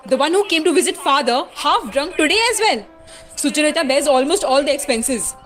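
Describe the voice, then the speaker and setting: Woman as stern scolding mother, high pitch, lively intonation, emphatic, radio drama. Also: brisk, soft background music. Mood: accusing. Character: stern, sharp-tongued single mother, scolding daughter. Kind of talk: amateur radio play acting.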